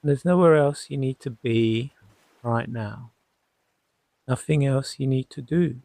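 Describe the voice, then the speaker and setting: Guy doing sleep hypnosis, super slow n soothing, bit of gravel in his voice, lots of pauses. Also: mid-range voice, no echo. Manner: soothing, unhurried, gently reassuring, with long pauses. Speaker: hypnotherapist guiding a sleep session. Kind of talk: guided sleep hypnosis narration.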